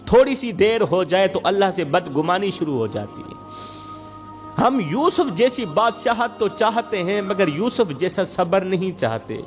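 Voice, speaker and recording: A man delivering an Islamic moral sermon as a preacher and storyteller, speaking emphatically with rhetorical repetition and exhortation. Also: high-pitched.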